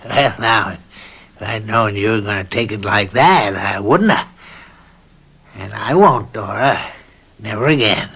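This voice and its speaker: An actor playing a sly, lecherous, domineering older farm boss with a rural American accent and a folksy, needling manner in a radio drama. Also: male voice, lowish voice, slow pace, vocal fry, pauses often, sharp tone, husky, exaggerated voice. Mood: leering. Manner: folksy, mock-kindly, needling.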